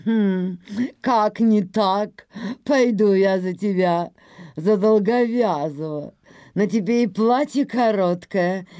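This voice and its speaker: A woman's voice: medium pitch, warm tone, clear, resonant tone, dry recording, clean studio sound, natural voice. A woman voicing a haughty, fickle heron with a disdainful, folksy manner in an animal tale. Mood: haughty, scornful. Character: haughty, fickle female heron, scornful and proud.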